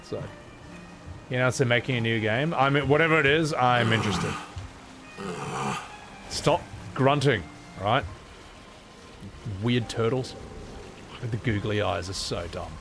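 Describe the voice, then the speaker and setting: A man's voice: medium pitch, a little slow, vocal fry, long pauses. A man streaming a video game with casual, blokey, sweary chat. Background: game music.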